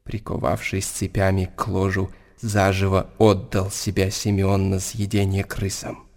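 A sober chronicler in a radio drama. He tells the tale in a low voice with hardly any rise or fall, restrained and factual. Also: completely flat delivery, measured pace, a little mellow. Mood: grave, restrained. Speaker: narrator. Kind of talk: scripted radio drama narration.

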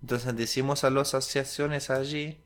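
A young man from Syria whose first language is Arabic talks in slow, broken Spanish with an Arabic accent. His voice sits at an ordinary male pitch. He often stops mid-word and restarts as he searches for the right term. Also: steady tone. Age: young adult. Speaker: guest. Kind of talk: interview, telling his story.

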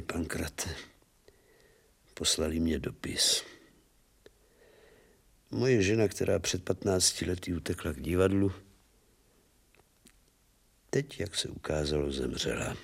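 An actor playing a weary, bitter, irascible old professor in a theatrical radio drama. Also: male voice, low voice, creaky, a bit bright, husky, exaggerated voice. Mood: weary, melancholy. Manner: theatrical, swinging from resigned murmur to agitated exclamation.

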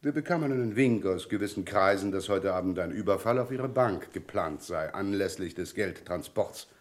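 A man's voice: sits low, expressive, normal speed. A man playing a self-assured Scotland Yard chief inspector in a German radio crime drama, explaining things with dry, polite irony. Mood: matter-of-fact. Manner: drily ironic, politely explaining.

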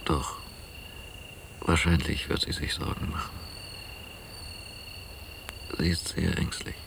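An actor playing a hesitant, affectionate man in quiet, intimate radio drama dialogue, with a gravelly voice. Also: a bass voice, steady tone, slow pace, heavily acted. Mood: hesitant, reflective. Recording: noisy, hissy old cassette radio play.

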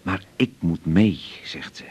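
An actor playing a troubled middle-aged father in a radio drama, speaking in a subdued, halting and terse way. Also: low-pitched, a little mellow, husky, highs cut off. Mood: weary, uneasy. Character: weary, troubled father of a grown daughter. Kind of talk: naturalistic radio drama acting.

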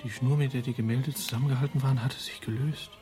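An anxious, brooding man played by an actor in a radio drama. His voice sits at an average pitch, emphatic and tense, with faint music behind it. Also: fairly even intonation, fast talker, dark tone, natural voice. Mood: grave. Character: anxious, agitated man prone to brooding suspicion. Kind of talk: radio play acting.

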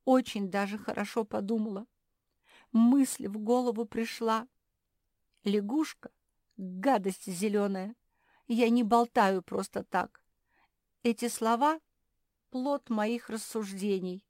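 A woman's voice: fairly high pitch, unhurried, dry recording, clean studio sound, voice pushed up, natural voice. A woman voicing an impulsive, stubborn female pug in a children's story, emphatic and whiny. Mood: tearful, stubborn.

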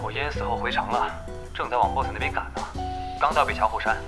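A man's voice: mid-range voice, fast talker, over loud music, natural voice. An actor playing a guarded young male gamer in an audio drama, speaking in a dry, understated, probing way. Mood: matter-of-fact. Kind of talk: Chinese audio drama acting.

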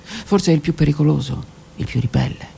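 A woman reading her own literary text aloud on the radio, in a slow, intimate voice that often drops to a whisper. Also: deep voice, lively intonation, vocal fry, noisy background. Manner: slow, intimate, hushed delivery with whispered words. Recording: radio broadcast with faint music.

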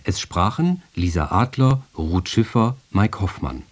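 Low-voiced, lively male announcer. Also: unhurried. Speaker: closing announcer. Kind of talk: scripted radio credits announcement.

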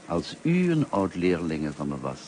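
Radio play casting: elderly schoolmaster, formal and wistful, lively low voice, noisy old recording. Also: male voice, a little slow, heavily acted. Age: older. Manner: formal, courteous, hesitant. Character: gentle lonely elderly male French teacher, courteous, wistful. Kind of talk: radio drama acting.

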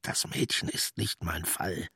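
An actor playing a menacing, fanatical old Nazi commander in a radio play, muttering tensely with a gravelly, husky voice that often breaks into hoarse whisper. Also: male voice, sits low, steady tone, a little slow, bright, forceful tone, dry recording, studio quality, full character voice. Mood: hateful, obsessed. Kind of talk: German radio play acting.

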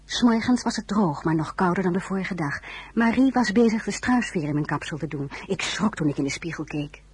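Pensive young narrator, really breathy n husky, dry close sound. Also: female voice, lowish voice, animated, a little fast, darkish tone, dry recording. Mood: calm, recollecting. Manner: intimate, reflective. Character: pensive young woman narrating her own memories. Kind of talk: scripted first-person narration.

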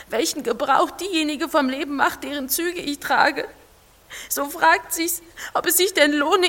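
A woman's voice: high voice, a bit bright. A woman playing a proud, sorrowful female android, reproachful and lofty, in a literary audio drama. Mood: proud, despairing. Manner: lofty, declamatory.

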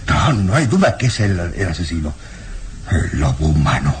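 Male peasant role: low voice, grim, insistent, vengeful, old radio drama with slight noise. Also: sing-song, moderate pace. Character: Pietro, vengeful earnest village peasant man. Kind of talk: old radio serial acting. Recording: noisy old radio serial recording.

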